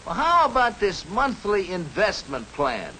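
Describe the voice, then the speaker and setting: Cautious cartoon family man: American, high voice, swooping intonation, mild and hesitant, vintage 1950s soundtrack. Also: huge pitch swings, a little slow, bright, forceful tone, slight background noise, full character voice, low-quality recording. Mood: curious, unsure. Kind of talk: 1950s educational cartoon acting. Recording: 1950s film soundtrack with music. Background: music.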